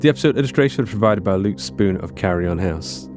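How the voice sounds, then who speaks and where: Low-voiced podcast host rattling off credits, chill and quick, music playing behind. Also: male voice, animated. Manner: brisk, casual and upbeat. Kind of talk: reading episode credits.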